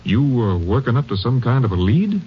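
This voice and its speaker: An actor playing a skeptical, level-headed Texas Ranger captain with a measured, probing manner in an old-time radio drama. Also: male voice, medium pitch, sing-song, husky. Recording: muffled, noisy vintage radio recording.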